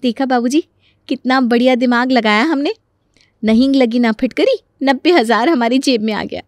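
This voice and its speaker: A smooth-talking young daughter-in-law, voiced by a woman for an animated family tale. The voice is high and closely recorded with no room sound, and the manner is hopeful, coaxing and full of reassurance as schemes are hatched. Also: moderate pace, dry recording, natural voice. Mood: smug, confident. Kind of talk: Hindi animated story dialogue.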